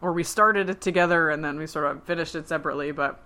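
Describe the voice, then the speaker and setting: A woman co-hosting a podcast in casual chat, her voice husky from a cold, rambling and correcting herself as she goes. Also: sits low, fast, sharp tone. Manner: rambling, self-correcting, laughing often. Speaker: podcast co-host. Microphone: remote call.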